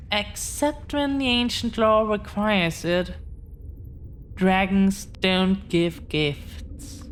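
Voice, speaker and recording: A woman's voice: lowish voice, a little hiss or hum. A woman playing an affectionate, earnest dragon-blooded girlfriend in an intimate audio roleplay, confessing to the listener in a hesitant, tender way. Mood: earnest explaining.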